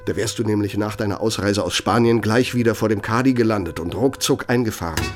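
A man's voice: fairly low pitch, slight background noise, close to an everyday voice. An actor playing a bitter, sardonic older former right-hand man in a radio play, speaking with needling, threatening emphasis. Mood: angry, accusing. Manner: sarcastic, needling, emphatic, drawn-out phrasing for menace. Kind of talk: radio play dramatic monologue.

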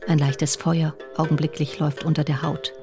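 A performer reciting an ancient poet's intimate love lyric in a measured, intense way, as in a radio feature. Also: mid-range voice, flat delivery, music in the background, close to an everyday voice. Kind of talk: poetry recitation, literary reading.

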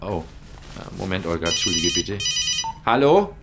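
Radio drama detective: lowish, creaky voice, measured, businesslike, naturalistic delivery. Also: male voice, expressive, very noisy. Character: male private investigator, matter-of-fact, affectionate, businesslike.

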